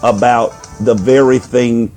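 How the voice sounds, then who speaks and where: A man speaking as a life coach and minister, preaching on a live stream into a microphone. His voice is hoarse and nearly gone, and he repeats phrases as he urges listeners on. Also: mid-range voice, steady tone, slow, a little mellow, soft background music. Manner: repeats phrases for emphasis, urging and exhorting. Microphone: microphone, put on partway through.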